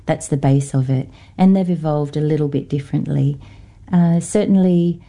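A woman from Norfolk Island, middle-aged, with an accent shaped by Australian speech. Her voice sits low and very warm. She answers earnestly, pausing on fillers and starting sentences over. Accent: Norfolk Island English, Australian-influenced. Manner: hesitant, many fillers and restarts, earnest. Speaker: guest, descendant and researcher. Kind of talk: thoughtful interview answers.

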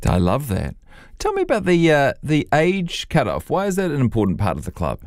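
A man's voice: a little high, huge pitch swings, a little fast, dry recording. Brief: New Zealand man, radio host, chatty and upbeat, dry close studio mic. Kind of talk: radio chat show interview. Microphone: studio microphone.

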